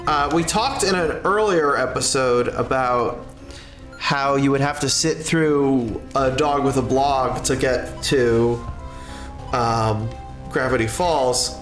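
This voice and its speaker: A man livestreaming in casual chat, rambling and halting as he talks, with drawn-out pauses and self-corrections. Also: medium pitch, fairly bright tone. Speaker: livestreamer and content creator. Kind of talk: casual livestream chat, answering a viewer's question. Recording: roomy echo with music underneath. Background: music.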